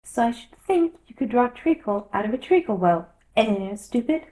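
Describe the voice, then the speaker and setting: A woman's voice: a little high, lively intonation, moderate pace, mellow, lightly acted, poor audio. A woman voicing a rude, pushy, self-satisfied man in a storybook reading. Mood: scornful. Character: bossy, rude, triumphant male Hatter.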